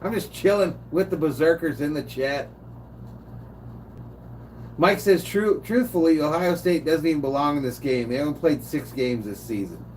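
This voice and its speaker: A man's voice: on the high side, normal speed, a little mellow. An older man streaming casual play-by-play of a game, chatting with viewers in a laid-back way. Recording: distant mic, faint room noise.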